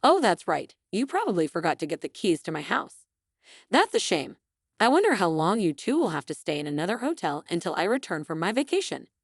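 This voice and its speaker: Woman playing a smug, taunting wife, animated. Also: lowish voice, fairly quick, dry recording, clean studio sound. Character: smug vengeful wife feigning innocence.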